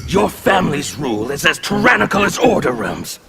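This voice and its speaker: An actor playing a menacing male anarchist warrior in a video game, with scornful, threatening delivery and a gravelly voice. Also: a little high, very animated, fairly quick, music in the background. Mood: defiant. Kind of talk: video game intro dialogue.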